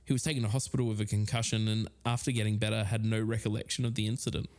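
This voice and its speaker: A man acting as an amiable investigator on a mystery podcast. His voice sits a little low, and he narrates strange cases conversationally, like a documentary co-host. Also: flat delivery, very fast, a little mellow, close to an everyday voice. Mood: matter-of-fact.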